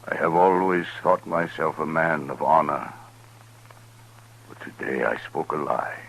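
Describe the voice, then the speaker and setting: Very deep, slow, solemn old-radio chief. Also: male voice, vocal fry, fairly bright tone, heavily acted, poor audio. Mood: solemn grief. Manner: solemn, formal, deliberate phrasing. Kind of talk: 1950s radio western acting. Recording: 1950s radio recording with faint noise.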